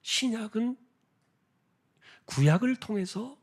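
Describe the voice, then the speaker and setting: A man preaching an expository sermon as a pastor, explaining deliberately with rhetorical questions and a husky voice. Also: sits high, animated. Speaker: pastor preaching at a church prayer meeting.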